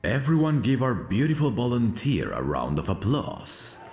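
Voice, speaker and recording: A man playing a suave, careful stage magician in a scripted ASMR audio roleplay, with a theatrical, drawn-out showman's delivery. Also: mid-range voice, very animated, echoey room, music in the background. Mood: showmanlike.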